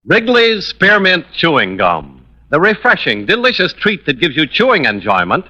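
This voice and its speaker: A male radio sponsor announcer with a very bright, forceful voice and hugely animated pitch. The recording is old, muffled and lo-fi. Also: normal speed. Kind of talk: vintage scripted radio sponsor read.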